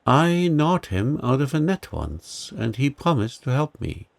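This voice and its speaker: A man voicing a small, kindly, helpful mouse in a storybook reading, speaking chirpily and briskly. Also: medium pitch, big pitch swings, gravelly, no echo, voice pushed up. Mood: eager.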